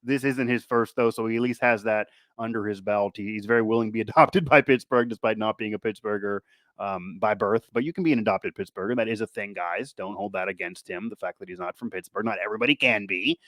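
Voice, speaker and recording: A man's voice: fairly low pitch, fast, fairly bright tone, dry recording. Rambling male sports host, dry close sound. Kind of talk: casual sports talk and interview.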